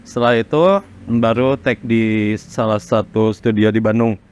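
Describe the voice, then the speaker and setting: A man from Indonesia, a rock singer, casually recalling his career in an interview in a halting, reflective way. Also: fairly low pitch, fairly even intonation, a little mellow, a little hiss or hum. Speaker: rock vocalist being interviewed. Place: studio workroom.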